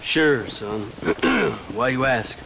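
An actor playing a weary, casually grumbling American government agent in a video game. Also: male voice, medium pitch, lively intonation, extremely slow, gravelly, background noise, heavily acted. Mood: casual, admiring. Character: weary, wry government agent in frontier town. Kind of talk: video game cutscene acting.

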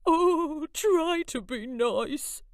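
A big lion whimpers and trembles, terrified of a tiny mouse, as a woman acts him out in a storybook. His voice rises high and loud in fear, recorded dry and close. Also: lively intonation, drawn-out words, no room sound, exaggerated voice. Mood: frightened.

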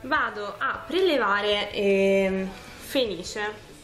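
A woman's voice: medium pitch, drawn-out words, fairly bright tone, faint music behind, rough recording. A woman, casually talking through a makeup tutorial step by step in an informal, chatty way. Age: 20s. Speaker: beauty vlogger. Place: small furnished room at home, indoors.

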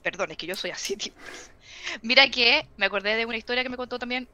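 A woman in her thirties with a Chilean accent, a guest expert explaining with rhetorical questions in a casual interview over a headset on a video call. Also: a little high. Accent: Chilean Spanish. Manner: animated, rapid-fire explaining with rhetorical questions. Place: home room with bookshelves, indoors.

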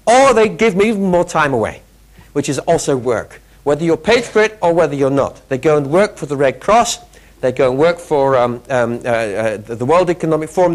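A middle-aged British man giving a lively interactive keynote talk, quizzing the audience with quick questions, on a lapel mic in a large hotel conference room. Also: fairly high pitch. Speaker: keynote speaker. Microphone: clip-on lapel mic.

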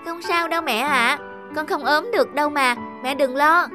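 A woman voicing an eager, carefree young boy in a cartoon, with an excitable childlike manner. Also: sits high, normal speed, lightly acted. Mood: pleading. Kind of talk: cartoon voice acting. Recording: loud children's music behind the voice.

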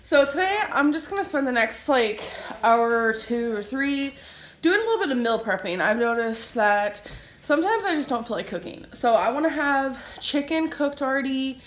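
A woman's voice: high voice, lively intonation, roomy sound, a little hiss or hum. A young woman vlogging casually at home in her kitchen, talking into a handheld phone camera and laughing easily. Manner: chatty, quick to laugh. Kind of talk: casual home vlog chat.

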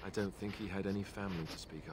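Man acting low-voiced earnest young warrior, game ambience. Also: steady tone, racing, background noise, natural voice. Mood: grieving, regretful. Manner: hesitant, apologetic, sincere. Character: young male warrior, earnest, awkward, grieving companion. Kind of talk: fantasy video game dialogue acting.

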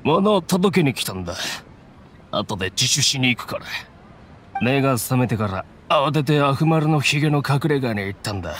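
A man playing an earnest, resigned mercenary with a rough, plain-spoken manner in a Japanese anime game. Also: mid-range voice, sing-song, moderate pace, fairly bright tone, a little hiss or hum. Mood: flustered.

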